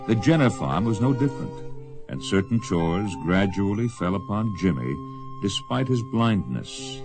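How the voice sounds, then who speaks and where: A solemn western storyteller on a radio drama. His voice is very low and gravelly, moving expressively through the tale over music. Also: sing-song, normal speed. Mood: solemn, measured. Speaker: narrator. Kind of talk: radio western scripted narration.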